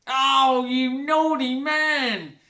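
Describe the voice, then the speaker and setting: Man playing a shrill, brash stepmother. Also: sharp tone, recorded from a distance, heavily acted. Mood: flirty. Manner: shrill, brash, drawn-out oohs. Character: loud coarse spiteful middle-aged stepmother. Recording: roomy echo.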